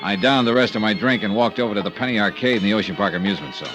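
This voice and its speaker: An actor playing a terse, hard-boiled insurance investigator in a 1950s radio drama, with a clipped, blunt way of questioning and music playing under the scenes. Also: male voice, on the low side, racing, natural voice. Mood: wry.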